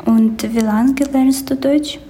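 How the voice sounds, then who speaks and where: A woman's voice: sits high, steady tone, slow, dark tone, a little hiss or hum. A woman from Ukraine, politely asking questions and answering them on a podcast. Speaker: interviewer. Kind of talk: podcast interview.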